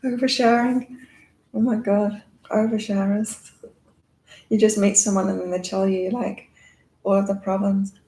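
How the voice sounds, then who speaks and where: Calm-voiced woman with gravelly fry. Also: mid-range voice, a little slow, long pauses, poor audio. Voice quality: calming voice. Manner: rambling, reflective, many fillers. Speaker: psychic reader and live-stream host. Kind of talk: casual live chat with card reading. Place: in Thailand.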